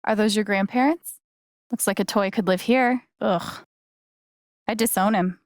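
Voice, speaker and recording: Actress playing a young woman, mid-pitched, unhurried, friendly, wry, conversational game dialogue, very dry studio sound. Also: dry recording, close to an everyday voice. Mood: dry, casual. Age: young adult. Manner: casual, dryly joking, conversational.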